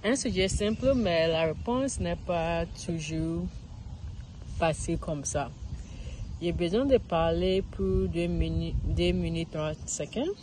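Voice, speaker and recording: A woman's voice: fairly low pitch, takes it slowly, darkish tone, a little hiss or hum, rough recording. A woman, recording a casual practice monologue outdoors in a park, searching for words and restarting often. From Ghana. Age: 30s. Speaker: vlogger learning French.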